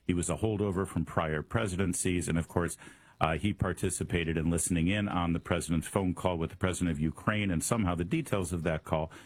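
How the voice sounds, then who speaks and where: A middle-aged Midwestern man with a slightly husky voice delivers an opinionated political monologue. He talks in a sarcastic, mocking way, often stumbling and repeating words as he starts a sentence. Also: sits low, steady tone, brisk. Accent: Midwestern American. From American Midwest. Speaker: radio and podcast host.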